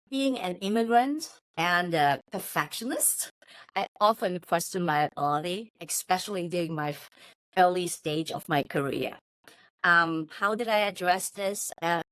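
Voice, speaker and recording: Low-voiced woman with a Hong Kong Chinese accent. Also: expressive, measured pace, fairly bright tone, dry recording, rough recording. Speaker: interview guest, technology company chief of staff. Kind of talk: interview answers, personal storytelling.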